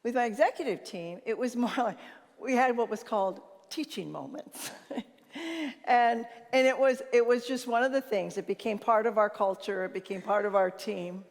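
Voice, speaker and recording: An older woman with a naturally loud voice gives an informal conference talk, telling anecdotes and acting out bits of conversation. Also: fairly high pitch, moderate pace. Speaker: conference speaker and leadership consultant. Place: large reverberant conference hall. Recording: reverberant hall sound.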